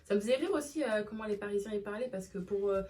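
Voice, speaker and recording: A woman's voice: medium pitch, fast. Young woman vlogger, Marseille accent, chatty and slangy, casual storytime. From Marseille, southern France. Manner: chatty and expressive, slangy, with many fillers.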